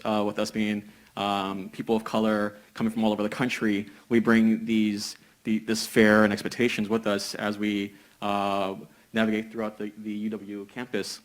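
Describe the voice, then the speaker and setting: A young man from a business school speaking on a panel, measured, with many "uh" hesitations. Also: on the low side, level tone. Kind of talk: panel discussion.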